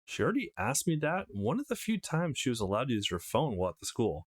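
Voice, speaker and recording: A man's voice: medium pitch, wildly swooping pitch, quick pace, no room sound, clean studio sound. A man voicing an easygoing young male protagonist of a visual novel, casual and wry. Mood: wry musing. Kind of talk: acted visual novel read-aloud.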